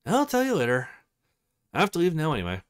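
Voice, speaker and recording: A man voicing a kind, caring middle-aged mother in a visual novel, with a gentle, motherly manner. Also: a little high, wildly swooping pitch, fast, smooth, voice pushed up.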